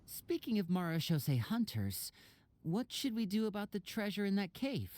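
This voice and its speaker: A woman's voice: medium pitch, sing-song, moderate pace, darkish tone, lightly acted. A woman playing a courteous young magician character in a video game, with polite, polished delivery. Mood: polite interest.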